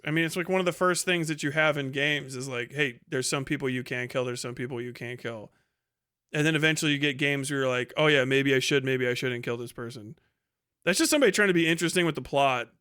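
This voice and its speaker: Bright, forceful man in blunt livestream commentary. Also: on the high side. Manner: blunt, profane, slangy. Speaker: livestream host reacting to a video. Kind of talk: casual, rambling livestream commentary.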